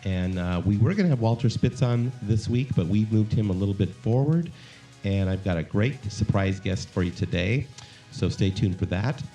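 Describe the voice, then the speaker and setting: A man's voice: lowish voice, normal speed, dark tone, faint music behind. A man hosting a podcast interview, upbeat and chatty, speaking into a studio microphone. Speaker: podcast host. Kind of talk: casual interview chat. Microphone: studio microphone with headphones.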